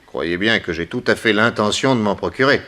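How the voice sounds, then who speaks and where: Bright-voiced, commanding, wry detective hero. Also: male voice, on the low side, fairly quick. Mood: brisk, wry. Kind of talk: 1960s French radio serial acting.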